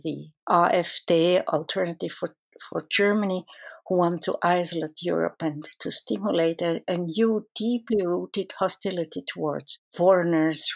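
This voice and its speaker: Earnest Swiss psychoanalyst woman, low voice. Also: vocal fry. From Switzerland. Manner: thoughtful, earnest, with frequent restarts. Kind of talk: interview answers.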